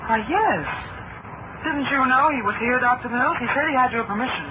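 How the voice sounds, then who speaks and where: An actress playing a deferential, anxious hospital nurse, polite and hesitant, in old-time radio drama style. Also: female voice, high voice, animated, brisk. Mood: hesitant, dutiful. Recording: muffled, very noisy old radio recording.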